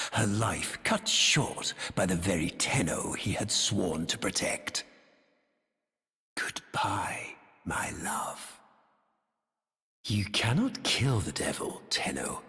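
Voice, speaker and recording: An actor voicing a sinister, pompous male tyrant in a video game, preaching in solemn, theatrical oratory with a breathy delivery. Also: lowish voice, bright, forceful tone, heavily acted. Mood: cold menace, then ominous, grave. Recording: very echoey hall, long reverb, distant.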